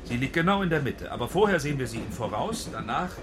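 A man's voice: mid-range voice, sing-song, fast talker, loud music behind the voice, natural voice. An actor playing a self-assured, pedantic film director in a radio drama, explaining his vision. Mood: keen, explanatory.

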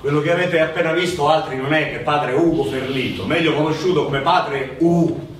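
A man acts an unassuming stage storyteller with a slightly high male voice. He sounds distant, in a very echoey space with faint noise. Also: normal speed, natural voice. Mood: narrating. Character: meek, obliging male sinner and plain storyteller. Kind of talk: theatrical narration.

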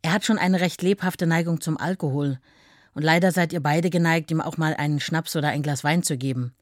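A woman acts as a stern, worried mother reading out a frank letter in a documentary. Her voice is very low for a woman, with a dry, close sound. Her delivery is firm and deliberate. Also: fairly even intonation, no echo, close to an everyday voice.

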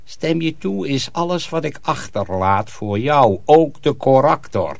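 A man's voice: a little high, expressive, unhurried, creaky, dry recording. A sinister master miller in a radio play. He is commanding and persuasive, with scorn in his delivery. His intonation swings widely, recorded close and dry. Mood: weary, persuasive. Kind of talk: radio drama acting.

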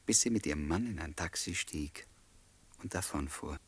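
A kindly lodger in a German radio play. His voice is very low. He speaks in a wry, reflective way with an understated touch of wistfulness. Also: moderate pace, breathy edge, no room sound. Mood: wistful, fond. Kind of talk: German radio play acting and first-person narration.

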